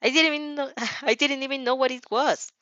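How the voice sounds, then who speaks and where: A woman's voice: high-pitched, steady tone, fairly quick, sharp tone, dry recording, dull audio, pitched above the performer's own voice. Woman acting a huffy, grudging young woman.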